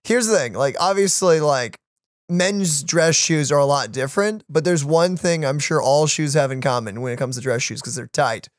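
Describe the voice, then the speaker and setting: Higher-voiced young man chatting casually. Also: moderate pace, no room sound, studio quality. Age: young adult. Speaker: reaction channel co-host. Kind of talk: casual chat. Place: small room at home. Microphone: boom-arm microphone, close.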